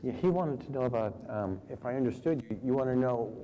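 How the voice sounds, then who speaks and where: A man's voice: medium pitch, brisk, dark tone, dull audio. A man giving direct, opinionated answers on a conference panel, speaking informally in an echoing hall. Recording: reverberant hall with faint background noise.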